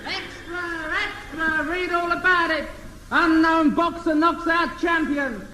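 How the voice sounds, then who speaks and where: An actor playing an excitable street newspaper seller in a 1950s radio comedy, calling out in drawn-out cries. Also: male voice, very high voice, exaggerated voice. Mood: excited hawking. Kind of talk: 1950s radio sitcom acting. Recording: distant, echoey, noisy radio recording.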